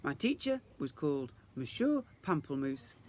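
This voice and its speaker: Expressive male storyteller, lively pitch. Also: moderate pace, a little hiss or hum. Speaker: teacher reading a story to young children. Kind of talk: expressive children's storybook narration.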